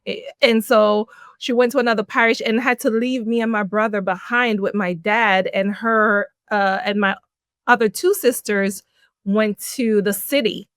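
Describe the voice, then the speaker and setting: A middle-aged woman from Jamaica, a podcast guest telling her life story in an animated way, laughing often. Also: mid-range voice, a little slow, no echo, studio quality. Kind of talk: personal storytelling in an interview.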